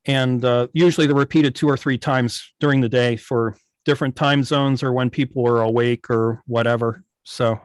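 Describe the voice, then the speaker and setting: A man explaining his work informally on a video call, in a halting, detailed way. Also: medium pitch, steady tone, no room sound. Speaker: guest, radio station operator. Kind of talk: informal explanation in an online club meeting.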